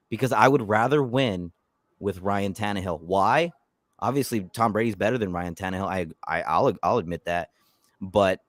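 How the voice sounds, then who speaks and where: Animated man hosting a fan live stream. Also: fairly low pitch, no room sound, studio quality. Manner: animated, repeats words and restarts often. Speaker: YouTube channel host. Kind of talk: casual live-stream chat.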